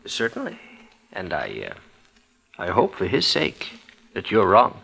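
A sober, courteous minister in 1940s radio drama style. He speaks politely and with measured care. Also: low-pitched, wildly swooping pitch, vocal fry, a bit bright, breathy edge, exaggerated voice, rough recording. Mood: courteous, concerned.

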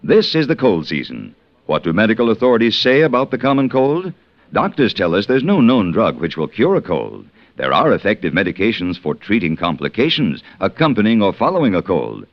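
A dull old radio recording features a man announcing a scripted public notice. His voice is low-pitched. His intonation is lively and varied, in the manner of a radio announcer. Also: brisk.

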